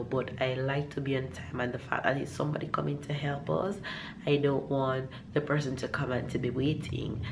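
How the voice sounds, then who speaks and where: Young Jamaican woman vlogger, very low and a little hoarse, rambling chat to camera, faint room hiss. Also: poor audio. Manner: rambling, warm, upbeat, with restarts. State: slightly hoarse, recovering from a cough. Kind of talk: casual vlog chat to camera. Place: living room at home.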